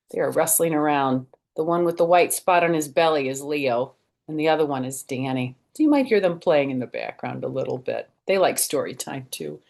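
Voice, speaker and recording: Lower-voiced woman reading to children. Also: a little mellow. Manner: explains and asks young listeners questions. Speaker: storytime reader and former first grade teacher. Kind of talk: children's picture-book read-aloud with asides to listeners.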